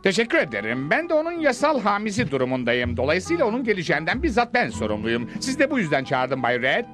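A man voicing a pompous, scheming, wealthy older gentleman in a theatrical manner for a Turkish cartoon dub. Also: high voice, huge pitch swings. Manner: pompous, theatrical, drawn-out names. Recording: background music under the voice.

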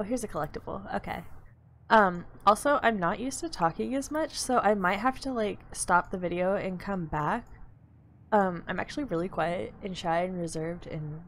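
A woman giving casual, rambling commentary as she plays a life-sim game, her voice slightly raspy and crackly, with many ums and likes. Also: on the low side. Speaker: gameplay YouTuber.

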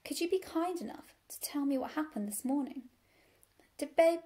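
A woman's voice: high voice, big pitch swings, moderate pace, a little mellow. A woman voicing a proud, exasperated old female sheepdog with a commanding manner in an animal storybook. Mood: strained politeness. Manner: barking, commanding, strained politeness.

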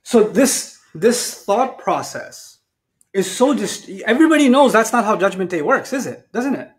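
This is a man around his forties with a notably high voice. He preaches like someone chatting across a table, animated and colloquial. He keeps tossing out rhetorical questions and slipping into acted-out asides. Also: moderate pace. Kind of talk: conversational sermon with acted-out asides. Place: indoors at a lectern. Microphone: lapel mic.

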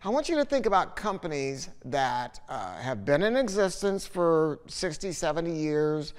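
An older American man in his sixties talks off the cuff with a smooth, resonant voice. He is opinionated and a little salty, wandering through his points like a storyteller. His voice rings with long reverb in a big, high-ceilinged room. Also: big pitch swings, normal speed. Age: 60s. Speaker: audio dealer presenting a speaker review. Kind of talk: casual, unscripted product talk to camera. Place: large living room at home, indoors.

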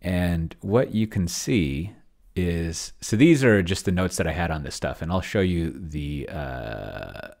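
A man's voice: deep voice, expressive, takes it slowly, creaky, darkish tone. A middle-aged man hosting a casual screen-share walkthrough, rambling and muttering asides to himself as he thinks aloud. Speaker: host of a solo roleplaying demo stream. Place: indoors at a desk, on webcam.

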